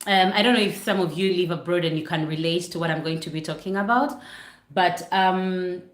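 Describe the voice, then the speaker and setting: A woman from Uganda talks casually to her livestream viewers from her living room. Her voice sits fairly low and bounces around an echoey room. She sounds chatty and apologetic, easily sidetracked. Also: steady tone, fairly quick. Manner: chatty, apologetic, distracted by lighting. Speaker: vlogger hosting a livestream. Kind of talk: casual chat to a live audience. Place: home living room near a Christmas tree. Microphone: computer setup for a livestream.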